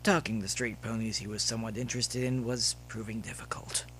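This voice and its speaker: A man's voice: fairly low pitch, little pitch movement, fairly quick, fairly bright tone, a little hiss or hum. A man reading scripted story narration as an audiobook narrator.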